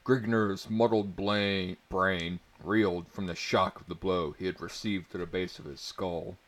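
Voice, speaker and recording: A man narrates a fantasy tale in a dramatic reading style. His voice sits fairly low and he takes his time over each phrase. The delivery is theatrical, with a mock-serious gravity. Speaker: story reader, narrator.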